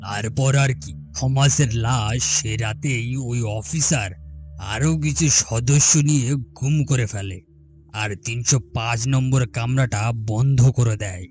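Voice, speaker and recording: A man playing a mysterious, calm gentleman ghost who tells his tale formally in a grave, resonant voice in a horror story. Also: mid-range voice, lightly acted. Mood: grim. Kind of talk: Bengali horror audio story acting.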